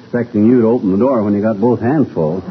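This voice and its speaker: An actor playing a folksy, wisecracking middle-aged husband in 1940s radio comedy, on a muffled, noisy old recording. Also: male voice, fairly low pitch, fast talker, close to an everyday voice. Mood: sheepish, bustling.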